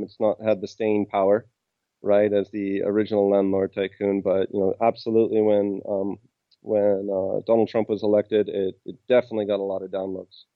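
An American man speaking in a low, flat voice, stopping often on ums and small restarts as he explains his work. The sound is dry and somewhat dull, as if recorded close in a quiet room with limited clarity. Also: no echo. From United States. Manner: hesitant, many ums and restarts. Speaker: game company representative. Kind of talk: interview answers.

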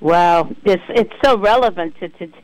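A man's voice: high-pitched, normal speed, no room sound, low-quality recording. A man co-hosting a radio interview, effusive and emotional, with many affirming hums. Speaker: radio co-host. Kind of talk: interview conversation.

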